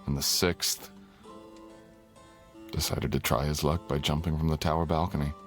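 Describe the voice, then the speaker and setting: A man's voice: a bass voice, little pitch movement, moderate pace. A man playing a courtly, teasing, tender dragon king in human form, speaking intimately as a lover in an ASMR roleplay. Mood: candid, wry. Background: music.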